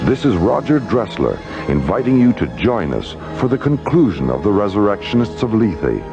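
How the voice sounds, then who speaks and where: An actor narrating a space radio drama in a solemn, dramatic documentary style. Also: male voice, fairly low pitch, very animated, normal speed, dull audio. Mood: grave, dramatic. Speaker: announcer and narrator. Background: loud music and effects.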